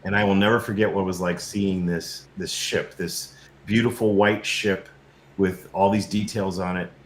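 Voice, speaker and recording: A man's voice: deep voice, fairly even intonation, normal speed. A middle-aged American man, a guest on a show, telling personal stories in a conversational way. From United States. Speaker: guest, filmmaker. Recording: echoey, roomy sound.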